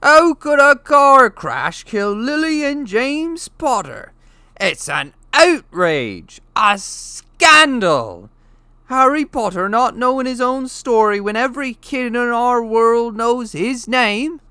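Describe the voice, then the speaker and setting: A man voicing a huge, gruff, hearty male giant in a storybook reading, with rustic phrasing and booming exclamations. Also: animated, slow pace, bright, forceful tone, no room sound, clean studio sound, heavily acted. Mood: roaring outrage. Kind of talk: storybook character voice in an audiobook reading.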